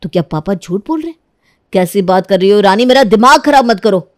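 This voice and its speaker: Young husband played by a woman, medium pitch, stern and suspicious, scolding, questioning, for a dramatised moral story. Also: expressive, brisk, dry recording, studio quality, lightly acted. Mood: angry, suspicious.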